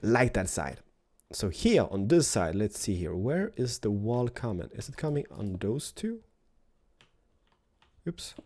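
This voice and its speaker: Man, slight cold, playful, thinking aloud, mellow voice, keyboard clicks behind. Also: fairly low pitch, sing-song, a little slow, long pauses. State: has a cold. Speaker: Minecraft building host. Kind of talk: casual build commentary.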